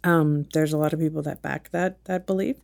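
A woman's voice: low voice, fairly even intonation, a little fast, vocal fry, a little mellow. A woman co-hosting a casual chat, asking teasing, wry questions. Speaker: podcast co-host.

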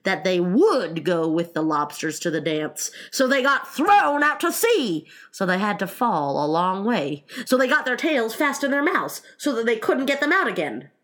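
Storybook gryphon played by a woman: bossy male beast, solemn and impatient, stretching words with emphatic pitch swings. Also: big pitch swings, moderate pace, few pauses, a bit bright. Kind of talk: storybook character reading.

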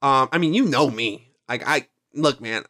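A man's voice: medium pitch, normal speed, bright, forceful tone. A man in his twenties whose first language is Spanish, chatting casually on a podcast, rambling and swearing freely as he quotes his own thoughts. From Mexican and Nicaraguan heritage. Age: 20s. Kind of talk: casual chat.

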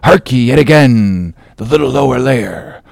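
A man's voice: mid-range voice, animated, normal speed, vocal fry, a little mellow, dry recording, studio quality. A man voicing a vengeful, obsessed old whaling captain, declaiming in archaic, impassioned speech in a dramatic novel reading. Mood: brooding intensity.

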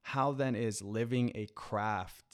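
A man's voice: lowish voice, takes it slowly. A man hosting a faith podcast, introducing a guest and asking questions with enthusiasm. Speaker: podcast host. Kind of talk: scripted podcast introduction and interview. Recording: music playing beneath the voice.

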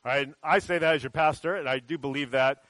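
A man's voice: a little high, fairly even intonation, quick pace, fairly bright tone, no echo, poor audio. A man who is a pastor, preaching a church sermon aimed at children and telling a story in a casual way with many ums and uhs.

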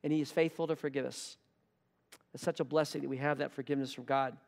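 Earnest pastor with a reverberant voice. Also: male voice, mid-range voice, fairly even intonation, fast talker, creaky. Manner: earnest, repeats key words for emphasis. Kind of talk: sermon. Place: church hall.

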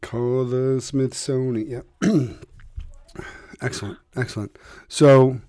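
A man's voice: medium pitch, fairly even intonation, slow, dark tone, no echo, clean studio sound. A man hosting a podcast, asking casual questions and often restarting them. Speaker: podcast host.

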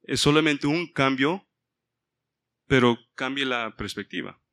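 Bright-voiced man leading a Bible study. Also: medium pitch, unhurried. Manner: mixes in English words, stops to ask the group for help. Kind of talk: informal Bible study sermon.

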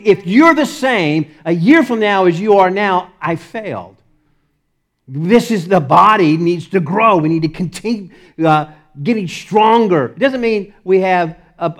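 Emphatic Texan pastor preaching. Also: male voice, high voice. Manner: emphatic, repeats phrases, laughs often, dynamic swings between loud and soft. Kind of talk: sermon.

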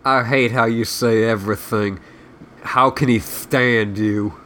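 A man's voice: mid-range voice, steady tone, measured pace, pushed and bright, voice pushed down. A man voicing a surly, self-loathing sprite guide who rambles sarcastically and swears as he insults, in a comic read-aloud. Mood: scornful, bitter. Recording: clearly noisy background.

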